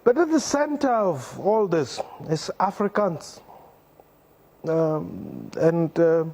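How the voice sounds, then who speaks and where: A South African man hosting a call-in discussion show, brisk and probing. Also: a little high, sing-song, creaky, darkish tone. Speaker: talk show host.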